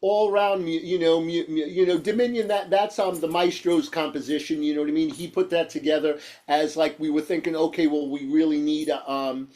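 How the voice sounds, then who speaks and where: Nasal, high-pitched New York man, rambling. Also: steady tone, brisk, hardly any pauses, darkish tone. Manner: rambling, stammering, repeats words and says "you know" often. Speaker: guitarist being interviewed. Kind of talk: casual chat.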